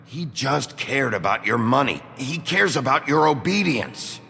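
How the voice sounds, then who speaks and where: An actor playing a gruff, blunt town sheriff in a video game, terse and confrontational. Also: male voice, medium pitch, expressive, unhurried, sharp tone, exaggerated voice. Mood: stern. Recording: echoey hall, music behind.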